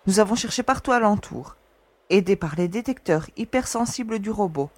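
A woman acting a resolute young space explorer who reasons calmly and gives orders. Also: medium pitch, brisk, dry recording, natural voice. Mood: steady, reasoning. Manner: calm, reasoning, commanding. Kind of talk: audio drama acting.

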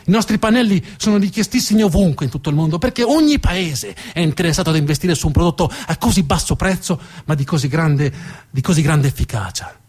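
A man delivering a dramatic narrative theatre monologue with emphatic, deliberate phrasing. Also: a little high, expressive, a little mellow, breathy edge. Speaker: stage storyteller, actor.

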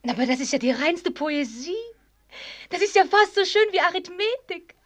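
An actress playing a proud, cerebral young woman in a German radio drama, articulate and formal, teasing and fervent by turns, with a breathy, husky voice. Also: very high voice, expressive, normal speed. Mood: moved, astonished. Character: proud, cerebral young woman who rapturously loves mathematics.

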